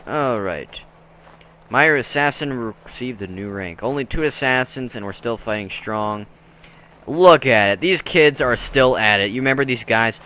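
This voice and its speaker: A man's voice: mid-range voice, moderate pace, pushed and bright, clean tone. A man giving casual commentary while playing through a video game.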